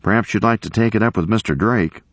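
A man's voice: fairly low pitch, fast, no echo, pitched above the performer's own voice, close to an everyday voice. A man voicing a courteous but firm male security guard in an audiobook, formal and following orders. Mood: polite, firm.

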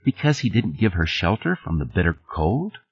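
A man's voice: sits low, sing-song, normal speed, husky, dull audio, exaggerated voice. A man voicing a young daughter, sleepy, earnest and insistent, in a narrated story. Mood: earnest, questioning.

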